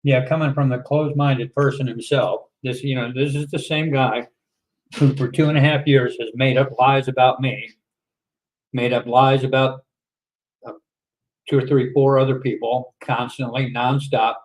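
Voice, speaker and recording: Man, mid-pitched, dry sarcastic reaction commentary. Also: steady tone, moderate pace, rough recording. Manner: sarcastic, exasperated.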